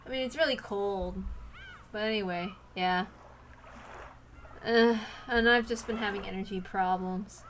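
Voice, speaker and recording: Bright-voiced woman reading a visual novel. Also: medium pitch, unhurried, pauses often, slight background noise, rough recording. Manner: informal, rambling, self-interrupting. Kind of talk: casual chat and story read-aloud.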